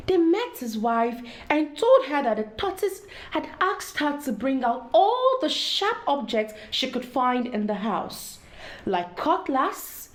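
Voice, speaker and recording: A woman reading a story aloud to children in an expressive storytelling style, addressing young listeners directly. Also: sits high.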